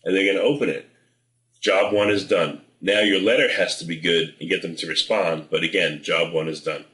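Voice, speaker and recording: A man with a low, forceful voice gives informal marketing advice. He is direct and plain-spoken, pitching his points persuasively. The recording has a noticeable room echo. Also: fairly even intonation, fairly quick. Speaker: marketing presenter. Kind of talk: informal sales advice to camera. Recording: roomy indoor echo.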